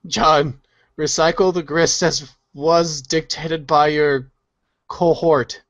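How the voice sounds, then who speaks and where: A man's voice: a little high, steady tone, slow, a bit bright, dry recording, muffled recording. A man voicing a bossy, pompous wandering vagabond who gives mock-formal commands in a comic storybook reading. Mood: commanding. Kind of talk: comic read-along storybook acting.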